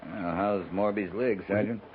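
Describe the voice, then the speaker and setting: An actor playing a wary, skeptical cavalry captain with a dry, clipped, sardonic delivery in a 1950s western radio drama. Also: male voice, fairly low pitch, steady tone, moderate pace, lightly acted. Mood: matter-of-fact. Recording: tinny, noisy 1950s radio recording.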